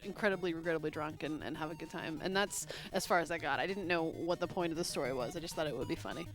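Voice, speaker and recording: A woman's voice: deep voice, fast talker, rough recording. A woman co-hosting a live music stream, chatting casually in a rambling, self-deprecating way. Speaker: co-host and lyricist. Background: faint video game music.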